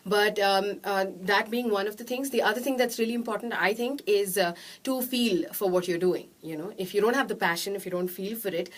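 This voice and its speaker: Quick-talking nasal woman in her thirties. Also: medium pitch. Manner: fast, chatty, gestures a lot with her hands. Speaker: radio jockey and programmer. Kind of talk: casual interview answers. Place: small indoor studio room, seated.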